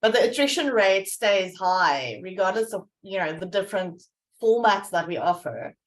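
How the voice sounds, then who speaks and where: A woman's voice: medium pitch, animated, moderate pace. Woman asking a group a question on a video call, mid voice, casual, some ums. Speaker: training program organizer. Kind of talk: asking a question in a virtual session.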